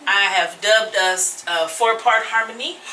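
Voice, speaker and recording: A woman's voice: mid-range voice, a little slow, slight background noise. A woman hosting a podcast, chatting casually and laughing often. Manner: laughs often, lively and playful. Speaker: podcast host. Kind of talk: casual group chat. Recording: roomy echo, mic at a distance.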